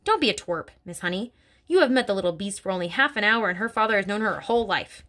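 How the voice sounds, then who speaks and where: A woman's voice: medium pitch, lively intonation, fast talker, fairly bright tone, close to an everyday voice. A woman reading aloud voices a bullying, hulking headmistress who barks, snorts and speaks with open contempt. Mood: bellowing scorn.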